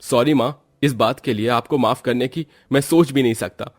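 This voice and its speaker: A man's voice: medium pitch, moderate pace, lightly acted. A man voicing a protective young husband in a cartoon story, heated and reproachful. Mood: angry, reproachful. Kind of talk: acted Hindi cartoon moral story.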